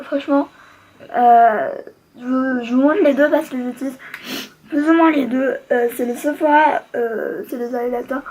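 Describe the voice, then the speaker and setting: A woman's voice: high-pitched, darkish tone, low-quality recording. A woman beauty vlogger chatting casually to her viewers in a gushing, slangy, enthusiastic way. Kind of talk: casual makeup product chat to viewers.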